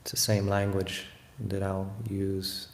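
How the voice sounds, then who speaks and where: A man teaches meditation in a fairly low, very level voice. He takes his time, hesitating and pausing as he reflects. The room adds a clear echo around his words. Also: completely flat delivery. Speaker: meditation teacher, Buddhist monk. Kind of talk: guided meditation talk. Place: room in a monastery. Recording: roomy echo, faint background noise.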